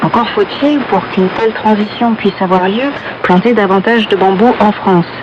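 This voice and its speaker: A woman's voice: medium pitch, normal speed. A woman narrating a scripted radio report as a reporter, heard over shortwave. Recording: muffled, noisy shortwave radio reception.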